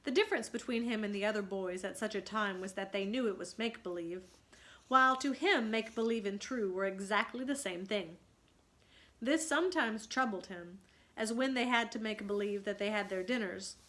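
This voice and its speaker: Woman teacher, children's read-aloud, bright clear-cut tone, expressive narration, questioning and explanatory. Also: medium pitch, normal speed.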